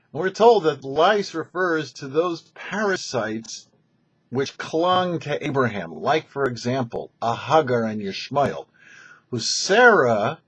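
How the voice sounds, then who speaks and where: A man gives a religious lesson at an unhurried pace, with pitch that rises and falls widely. His delivery is deliberate and instructive, like a rabbi reading prepared teaching. The recording is somewhat dull and muffled. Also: fairly bright tone, slightly rough. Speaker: rabbi presenting a weekly Torah show.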